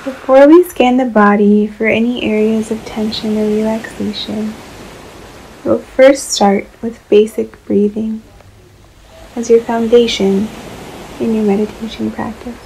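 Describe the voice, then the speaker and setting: A woman leading a guided relaxation meditation in a soothing, unhurried manner, leaving long pauses between short phrases. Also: on the high side, steady tone, vocal fry, warm tone, slight background noise, low-quality recording.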